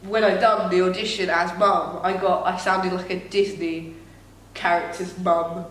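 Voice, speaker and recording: A woman's voice: lowish voice, flat delivery, a little slow, far from the mic. A young woman chatting on a podcast, thinking aloud in a reflective way. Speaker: podcast co-host, actor. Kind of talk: casual chat. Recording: very echoey room, faint noise.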